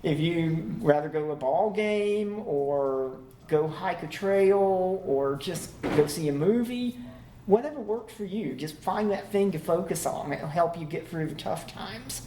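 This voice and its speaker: A man giving a relaxed, conversational conference talk to a live audience, laughing easily as he goes. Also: sits high, unhurried. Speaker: conference presenter. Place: conference room. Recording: echoey room, faint background hum.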